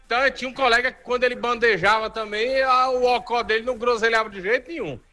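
A man's voice: extremely high pitch, fairly even intonation, fast talker, sharp tone. A man chatting casually and telling joking anecdotes.

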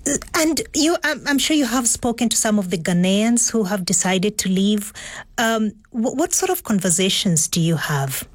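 A woman presenting a news program, reading items and interviewing guests in a measured way. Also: medium pitch, lively intonation, no room sound. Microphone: studio mic.

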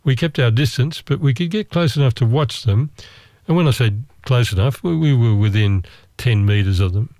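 A man's voice: medium pitch, normal speed, a little mellow, no room sound. Man, storyteller, dry close sound, wry and self-mocking. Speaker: travel storyteller. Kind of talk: casual storytelling.

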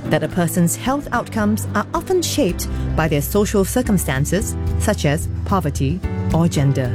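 A woman who hosts the show, narrating from a script and interviewing her guest with warm, enthusiastic reactions. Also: fairly low pitch. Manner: warmly enthusiastic, effusive thanks and reactions. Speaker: host and interviewer.

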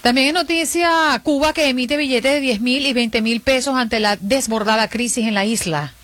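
A Venezuelan woman co-hosting a morning show, with a voice that sits a touch high for a woman. Her delivery is animated and playful, and she laughs easily between teasing remarks. In the studio she shifts smoothly between chatter and headline reading. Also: moderate pace, no room sound. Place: broadcast studio.

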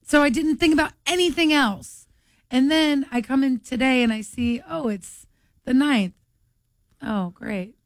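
A woman's voice: sits high, unhurried, dry recording. Woman, late thirties, radio co-host, laughing storyteller, dry studio. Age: late 30s. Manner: laughing, storytelling, quoting herself. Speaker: radio morning show co-host. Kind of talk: casual on-air banter.

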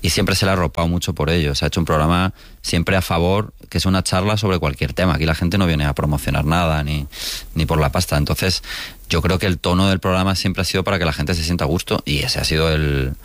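Man, very deep voice, easygoing agreeable guest, dry studio. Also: steady tone, quick pace, no echo. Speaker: show writer and guest. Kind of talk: radio conversation. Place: radio studio.

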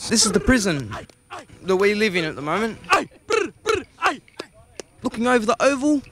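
A man's voice: sits high, very animated, moderate pace, very noisy. A man who is a prisoner plainly describes his surroundings in a matter-of-fact way. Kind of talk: casual descriptive talk.

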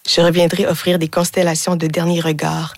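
A woman in her twenties, born in Haiti, reciting her own spoken-word poetry in a measured, deliberate way in a radio studio. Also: fairly low pitch, flat delivery, dry recording. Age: 20s. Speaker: guest poet.

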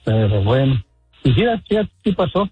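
A man with a Mexican accent calling into a sports radio show, rambling and halting as he chats about a match. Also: mid-range voice, big pitch swings, breathy edge, no echo, phone-quality sound, faint music behind. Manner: rambling, halting, laughs often. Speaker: caller. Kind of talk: chatty phone-in about football.

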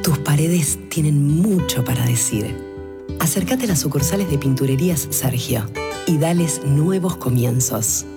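A woman reads a radio commercial slowly and softly. Her voice is low, set over loud guitar music. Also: animated. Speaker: ad announcer.

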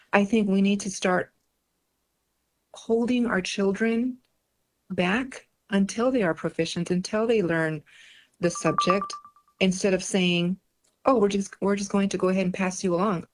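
A woman from Mexico whose first language is Spanish, answering interview questions as a political candidate in an earnest, emphatic way that leans on repetition. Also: medium pitch.

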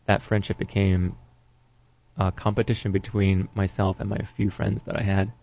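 A man with Japanese as his first language, talking in English with a very low, gravelly and flat voice. His tone is dark, and he speaks haltingly with pauses and fillers. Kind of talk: casual interview answer.

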